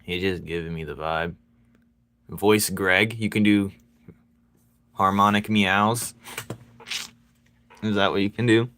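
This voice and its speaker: A man chatting casually with his viewers while he draws, playful and quick to laugh as he banters with them. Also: sits low, steady tone, sharp tone, smooth. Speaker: streaming artist. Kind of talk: casual chat while drawing.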